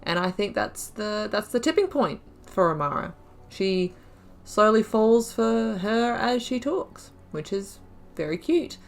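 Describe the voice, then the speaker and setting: A woman's voice: mid-range voice, animated, measured pace, gravelly. A woman giving casual game commentary, thinking aloud with lots of fillers as she picks dialogue options. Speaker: gaming YouTuber. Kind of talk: casual let's play commentary.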